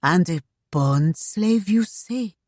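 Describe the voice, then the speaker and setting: A woman's voice: fairly low pitch, lively intonation, slow pace, darkish tone, no echo, clean studio sound. A woman voicing a flirtatious, playful society lady in a storybook, gushing and exclamatory with a foreign accent. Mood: curious, coy.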